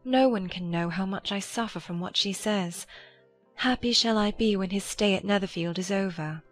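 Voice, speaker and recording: A woman voicing a gentle, long-suffering young elder sister in a dramatized audiobook, earnest and restrained. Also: mid-range voice, moderate pace, soft background music, close to an everyday voice. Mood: quietly distressed.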